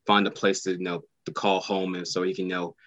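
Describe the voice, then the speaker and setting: A man's voice: sits low, level tone, fairly quick, fairly bright tone, no echo. A man, an actor, answering interview questions casually and hesitantly, full of fillers. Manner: hesitant, many fillers like "you know". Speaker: actor guest.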